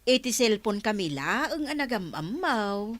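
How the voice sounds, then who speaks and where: A woman's voice: a little high, very animated, a little slow. An actress playing a lovestruck married woman in a radio comedy drama. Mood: defensive.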